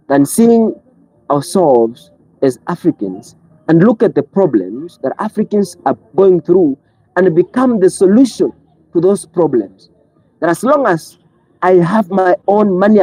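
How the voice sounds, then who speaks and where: A commentator giving an impassioned monologue. The speaker is preachy, asks rhetorical question after question, and swings between loud outbursts and low pleading. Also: slightly rough, dry recording, rough recording. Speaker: online commentator.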